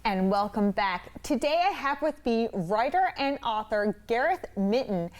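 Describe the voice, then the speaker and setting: A woman's voice: fairly high pitch, expressive, unhurried, faint music behind. A woman hosting a TV studio talk show, eagerly welcoming and affirming her guests. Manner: enthusiastic, affirming. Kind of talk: casual TV studio interview.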